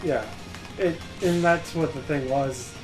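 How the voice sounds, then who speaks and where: A man with a moderately high voice talks casually as a gaming co-host. Video game music runs behind his conversational commentary. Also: takes it slowly, low-quality recording. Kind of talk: casual chat over gameplay.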